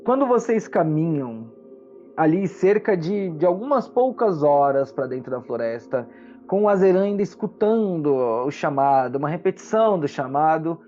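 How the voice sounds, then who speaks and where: A Brazilian game master narrating a tabletop roleplaying game with dramatic, unhurried pauses. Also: mid-range voice, dark tone. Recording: muffled lo-fi audio with music. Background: music.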